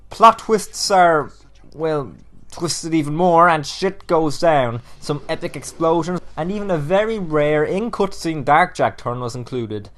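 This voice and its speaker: A man's voice: fairly high pitch, lively intonation, a little slow, fairly bright tone. Emphatic man narrating a countdown. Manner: emphatic, casually profane. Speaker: video countdown host. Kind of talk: enthusiastic scripted review narration.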